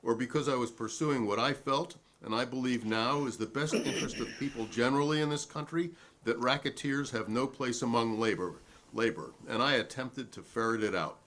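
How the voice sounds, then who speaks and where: A man's voice: mid-range voice, a little fast, a bit bright, slight background noise, close to an everyday voice. A man reading the part of a combative working-class salesman testifying at a 1950s hearing, formal, righteous and long-winded. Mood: righteous, indignant. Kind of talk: dramatic reading of a hearing transcript.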